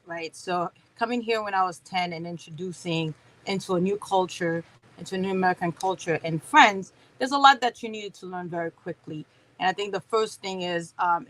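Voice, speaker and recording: A woman's voice: on the low side, fairly bright tone, low-quality recording. A woman from Haiti speaking at a conference, chatty and sprinkled with "right?" and fillers. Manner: conversational, many fillers and "right?" tags. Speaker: conference speaker, company founder. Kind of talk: motivational conference talk.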